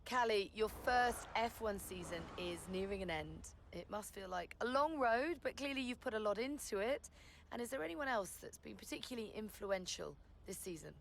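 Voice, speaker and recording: A woman's voice: medium pitch, animated, moderate pace, creaky. Woman tv reporter doing a post-race interview, game cutscene, courteous, probing, faint noise. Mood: upbeat, probing. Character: polite, probing female motorsport TV reporter. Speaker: sports reporter.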